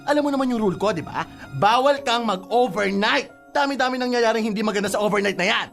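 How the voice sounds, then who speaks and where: A woman's voice: a little high, expressive, quick pace, creaky, soft background music. A woman playing a strict, hot-tempered elder sister who scolds and interrogates like a parent, in radio drama style. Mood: stern, scolding.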